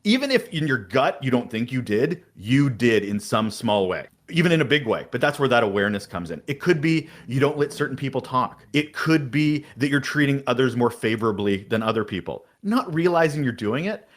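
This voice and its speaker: A man's voice: mid-range voice, a little fast, hardly any pauses. A man guesting on a podcast, talking emphatically and energetically in an interview. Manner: energetic, emphatic, talks about having a big voice. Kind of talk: interview answers on leadership.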